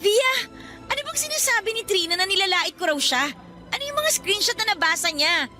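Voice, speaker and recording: A woman's voice: very high voice, big pitch swings, a little fast, sharp tone, faint music behind, full character voice. A woman playing a defensive young office worker in a radio drama, pleading and indignant. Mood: hurt, indignant. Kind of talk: Tagalog radio drama acting.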